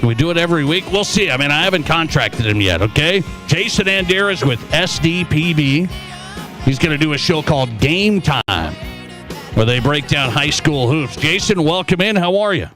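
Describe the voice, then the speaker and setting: A man's voice: fairly high pitch, animated, moderate pace, music in the background. A man hosting a sports talk radio show, speaking casually in short, punchy conversational phrases.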